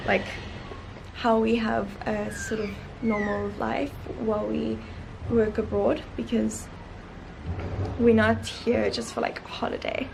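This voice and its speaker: A woman's voice: a little high, darkish tone. A young woman chatting casually to camera in a day-in-the-life vlog, with lots of fillers and an upbeat tone. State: croaky from just waking up. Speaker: vlogger. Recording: echoey room with clear background noise.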